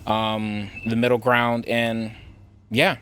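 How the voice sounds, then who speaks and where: A man's voice: mid-range voice, level tone, takes it slowly, fairly bright tone, slight background noise. A man in his twenties, a podcast co-host, telling a long story in loose, rambling casual chat with slang. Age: 20s.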